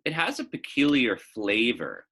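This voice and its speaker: A man reading the part of a reserved, gently stern preacher father in a storybook, slow, measured and thoughtful. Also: medium pitch, wildly swooping pitch, gravelly, heavily acted. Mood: pensive.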